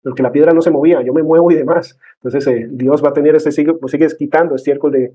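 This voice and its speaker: A man's voice: on the high side, fairly even intonation, fast, mellow. A man teaching a discipleship class in a conversational way, with many fillers and tag questions. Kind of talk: informal church class lecture.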